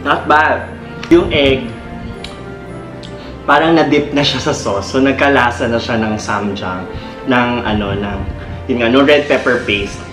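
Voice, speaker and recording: A man's voice: mid-range voice, a little slow, long pauses. A man with a Filipino accent chatting casually as a food vlog host, switching between English and Tagalog. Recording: echoey room, music behind. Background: clear background music.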